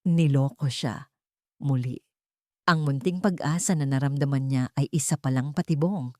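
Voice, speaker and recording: A woman's voice: rumbling low, moderate pace, dry recording, clean studio sound. A woman reading a scripted story as a narrator, in a dramatic storytelling style. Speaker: narrator of audio love stories.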